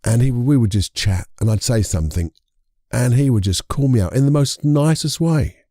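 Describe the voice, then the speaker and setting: A man with a croaky voice hosting a casual solo podcast, chatty and rambling, correcting himself as he goes. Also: mid-range voice, animated, moderate pace, mellow, dry recording, studio quality. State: croaky voice from a lingering cold. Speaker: podcast host and hypnotherapist.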